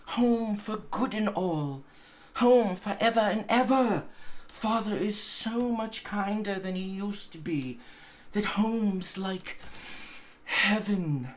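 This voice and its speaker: A man voicing a loving, excited little girl in a storybook reading, gleeful and eager. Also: sits high, slow, voice pushed up. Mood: brimful of glee.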